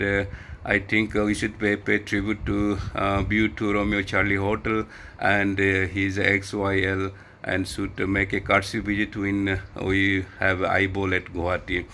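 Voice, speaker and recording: A man's voice: lowish voice, pushed and bright, slight background noise. A man with an Indian accent chatting casually as an amateur radio operator, hesitant and often repeating his words. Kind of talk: casual ham radio chat.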